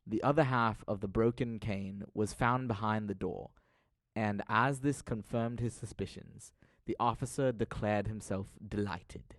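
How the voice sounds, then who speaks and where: A man's voice: lowish voice, steady tone, a little slow, no echo. A man narrating an audio drama as a scripted story, with a formal, literary delivery.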